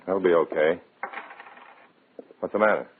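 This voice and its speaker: Very deep hard-boiled radio agent. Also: male voice, a little slow. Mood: curt, irritated. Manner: hard-boiled, clipped, dramatic. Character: tense, hard-boiled male undercover agent narrating his own story. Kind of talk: 1950s radio drama acting, first-person suspense narration. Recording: crackly, narrow-band old radio recording.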